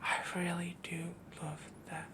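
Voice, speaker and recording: A man playing a possessive, doting young werewolf boyfriend in a soft, breathy, half-whispered intimate roleplay audio, rambling and dominant as he coos endearments. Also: high-pitched, completely flat delivery, slow, background noise. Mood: adoring.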